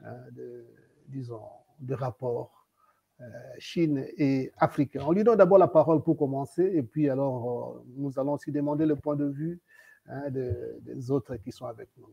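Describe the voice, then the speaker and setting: A man hosting a discussion show, introducing his guest in a halting way with many hesitations. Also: mid-range voice, creaky, warm tone, breathy edge, lo-fi sound. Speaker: host.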